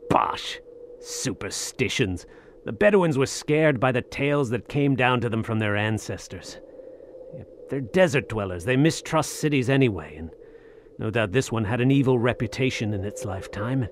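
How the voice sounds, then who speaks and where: A man acts out a greedy soldier of fortune in an audio drama. His mid-pitched delivery is theatrical and halting, full of grandeur. Background ambience runs under the voice. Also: expressive, slight background noise, natural voice. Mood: dismissive. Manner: theatrical, awestruck, halting phrasing. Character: bold, greedy Western soldier of fortune exploring ruins.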